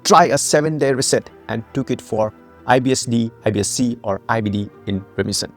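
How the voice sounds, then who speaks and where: A man's voice: mid-range voice, a little fast, soft background music. A man from Nepal, founder and host of a health channel, giving a scripted educational narration with emphatic rhetorical questions.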